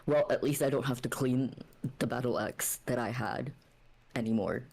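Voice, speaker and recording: A woman voicing a blunt, deadpan male warrior in a tabletop game, speaking in terse, broken sentences. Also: rumbling low, moderate pace, breathy edge, heavily acted. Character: blunt, deadpan male battle-axe warrior named Solon.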